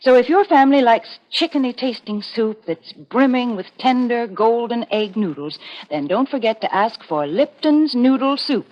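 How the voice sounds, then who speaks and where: A woman's voice: a little high, lively intonation, normal speed. Woman announcer on muffled 1940s radio. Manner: folksy, earnest, persuasive sales delivery. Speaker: sponsor announcer and show regular. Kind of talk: 1940s radio advertising read.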